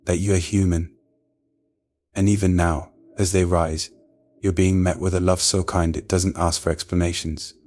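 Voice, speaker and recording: Deep-voiced man, soothing bedtime prayer. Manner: soft, slow and soothing. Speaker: devotional narrator. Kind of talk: scripted bedtime prayer meditation.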